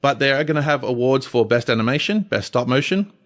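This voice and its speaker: A man's voice: medium pitch, animated, quick pace. A man chatting casually as a podcast co-host.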